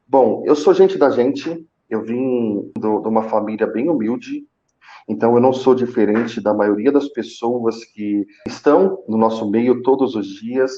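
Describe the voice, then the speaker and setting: A Brazilian man speaking Portuguese with a Brazilian accent, telling his own story conversationally as an interview guest, haltingly, with repeated words and pauses. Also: mid-range voice, warm tone, dull audio. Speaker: guest, call center senior manager. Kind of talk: conversational personal account in a live interview.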